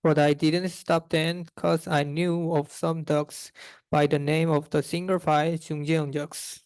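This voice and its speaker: A man's voice: fairly high pitch, flat delivery, a little slow, darkish tone, smooth. A young man reading a rhyming children's storybook aloud. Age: young adult. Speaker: student reader.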